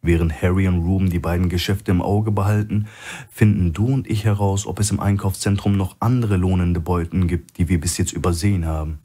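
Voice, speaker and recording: A man voicing a brisk, decisive woman who gives terse orders in a thriller audiobook. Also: deep voice, steady tone, warm tone, husky. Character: brisk, commanding woman planning a covert operation.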